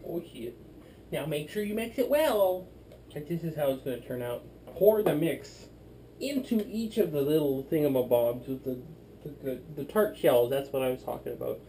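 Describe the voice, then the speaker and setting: A man's voice: fairly high pitch, lively intonation, moderate pace, background noise. Drunk rambling man in a kitchen. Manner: rambling, silly, playful. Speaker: vlogger. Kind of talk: drunken cooking demo vlog. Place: small home kitchen. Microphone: camera across the room.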